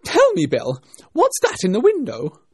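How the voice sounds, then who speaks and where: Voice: medium pitch, huge pitch swings, moderate pace, a little mellow, husky, no echo, studio quality, pitched above the performer's own voice. A narrator voicing an angry, bossy male rabbit who scolds imperiously in a storybook reading.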